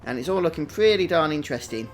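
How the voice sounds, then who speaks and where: Male streamer, British, fairly high voice, chatty and casual gameplay commentary over faint music. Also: expressive, fast talker, low-quality recording. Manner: chatty, greets viewers individually. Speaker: game livestream host.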